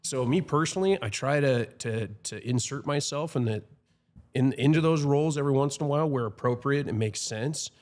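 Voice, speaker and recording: A man's voice: medium pitch, brisk, a little mellow. A man in his thirties, a firefighter, speaking reflectively with frequent restarts in a casual podcast chat. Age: 30s.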